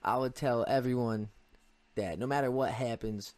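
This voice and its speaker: A man's voice: mid-range voice, steady tone, normal speed, a little mellow, no room sound. Earnest young man chatting casually. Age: 20s. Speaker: podcast guest, amateur MMA fighter. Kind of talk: casual chat.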